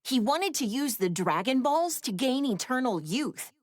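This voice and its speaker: A woman's voice: sits high, very animated, a little slow, no echo, studio quality, full character voice. An actress voicing a clever, sassy young woman scientist in an anime game, with a wry, chatty, slightly mischievous manner. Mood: sassy, teasing. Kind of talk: scripted game voice lines.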